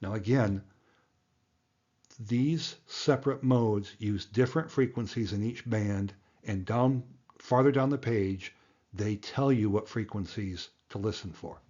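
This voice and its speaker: A man's voice: fairly low pitch, a little slow, darkish tone, dull audio. A man giving a plain-spoken, emphatic tutorial as an instructor, explaining things step by step. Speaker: amateur radio instructor and presenter.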